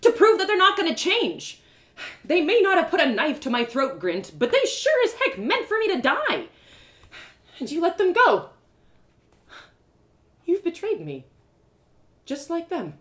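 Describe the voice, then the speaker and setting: A woman's voice: very high voice, huge pitch swings, unhurried, long pauses, a bit bright, pitched above the performer's own voice, heavily acted. A woman voicing a hot-tempered, wounded young girl in a story, emphatic and full of indignant questions. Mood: bitter, angry.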